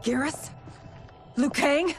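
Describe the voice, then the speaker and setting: Slow, bewildered warrior queen. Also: high-pitched, faint music behind. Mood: dazed. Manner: halting, breathy, disoriented. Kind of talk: dramatic video game cutscene acting.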